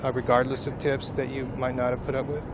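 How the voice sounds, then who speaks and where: A man reporting at a protest march, asking measured, probing questions in a street interview. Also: mid-range voice, level tone. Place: outdoor city street march. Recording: loud street noise outdoors.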